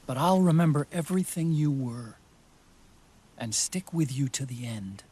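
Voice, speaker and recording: An actor playing a loyal, gentle friend in a game cutscene, speaking earnestly and tenderly. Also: male voice, medium pitch, lively intonation, normal speed, breathy edge. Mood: tender, wistful. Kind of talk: dramatic video game cutscene acting.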